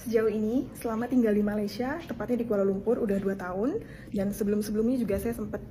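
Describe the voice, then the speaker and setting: Woman chatting fast and casual, warm mellow voice, faint ambience behind. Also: mid-range voice, slight background noise. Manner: relaxed, colloquial, frequent fillers. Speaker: interviewee. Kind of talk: casual talk.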